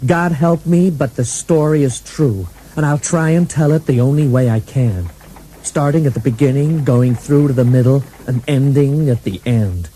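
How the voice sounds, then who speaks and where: An actor playing a deadpan hardboiled bodyguard and assassin who narrates his own story in a comic radio play. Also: medium pitch, normal speed, slight background noise, natural voice, low-quality recording.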